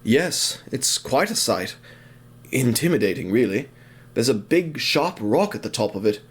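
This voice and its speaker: A man's voice: medium pitch, sing-song, breathy edge. Man as a troubled young little engine, hesitant. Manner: slow, hesitant, sighing.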